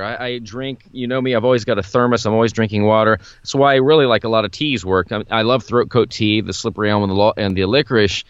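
A man co-hosting a podcast about singing, talking in a confident, brisk, plain-spoken way. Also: fairly low pitch, fairly bright tone, clean tone, no echo. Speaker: vocal coach and author, podcast co-host. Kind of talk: casual podcast chat. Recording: dull, lo-fi dry sound.

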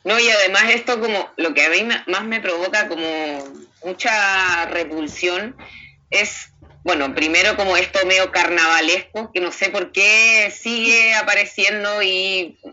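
A woman's voice: medium pitch, moderate pace, bright, forceful tone. A woman giving critical opinions conversationally in a group interview, feeling her way through her phrasing. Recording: muffled lo-fi audio.

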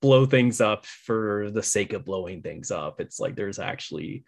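A man's voice: lowish voice, lively intonation, a little slow, gravelly. A man interviewing someone in a casual, earnest conversational way, speaking appreciatively in informal phrases.

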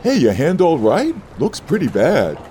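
A man's voice: fairly high pitch, wildly swooping pitch, measured pace, dark tone, slight background noise. An actor playing a friendly, solicitous doorman in an audio drama, casual and chatty.

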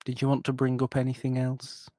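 A man's voice: mid-range voice, brisk, no echo. A man co-hosting a podcast, chatting casually and ramblingly about an old film, often breaking off mid-sentence. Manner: rambling, animated, self-interrupting. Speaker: podcast co-host.

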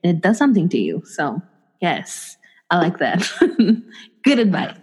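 A young woman from Mexico City with a light Mexico City accent, co-hosting a podcast in relaxed, agreeable casual chat. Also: fairly low pitch, measured pace, slightly rough. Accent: Mexico City Spanish. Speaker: podcast co-host.

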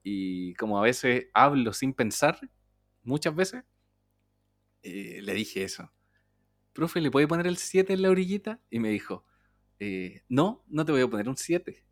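A man's voice: medium pitch, expressive, unhurried, pauses often, dry recording. Slangy Chilean man, close dry sound. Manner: informal, slangy storytelling, acting out remembered dialogue. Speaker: podcast co-host. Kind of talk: casual chat, anecdotes.

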